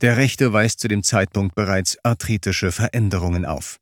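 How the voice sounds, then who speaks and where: A man narrating a scripted documentary story in a measured, clearly articulated way. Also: lowish voice, no echo, studio quality.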